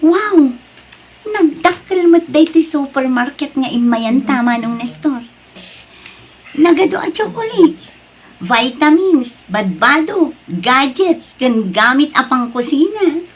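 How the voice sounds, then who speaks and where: A woman's voice: high voice, big pitch swings, slow, slight background noise, heavily acted. A woman playing a friendly, grateful overseas worker in an audio drama. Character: friendly, grateful woman working abroad, missing her child.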